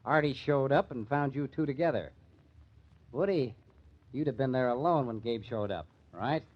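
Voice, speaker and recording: Sardonic hard-boiled American cop played by a man, medium-pitched, needling interrogator, dull vintage radio crime drama sound. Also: normal speed, natural voice. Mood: accusing. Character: tough, sardonic, suspicious police detective. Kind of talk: old-time radio crime drama acting. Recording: dull old-time radio recording.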